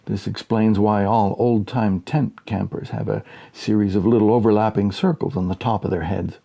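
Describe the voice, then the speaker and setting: Male audiobook narrator, dark mellow tone, gravelly fry, dry measured delivery, humorous short story. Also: lowish voice, fairly even intonation.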